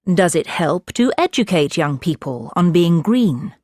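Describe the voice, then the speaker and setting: A woman with a fairly low voice reads speaking-test questions in a clear, formal way. The recording is dry and close, like studio course audio. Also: very animated, unhurried, a little mellow, no room sound. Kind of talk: scripted exam questions.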